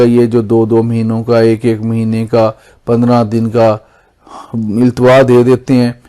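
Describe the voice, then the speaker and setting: Mellow, even-toned Pakistani man explaining. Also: mid-range voice. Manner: formal, repeats and rephrases points. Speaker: lawyer presenting legal explainer videos. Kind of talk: explanatory lesson, semi-scripted.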